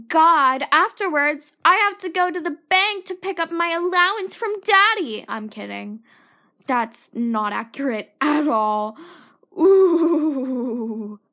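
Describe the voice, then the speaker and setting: A high, bright teenage girl chatting alone into her podcast mic. She rambles and mocks herself, often trailing off into whispers. Her throat is sore and her voice sounds tired. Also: animated, slow pace. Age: teens. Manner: self-mocking, scattered, drifts into whispered asides. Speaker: podcast host. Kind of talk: rambling solo podcast chat.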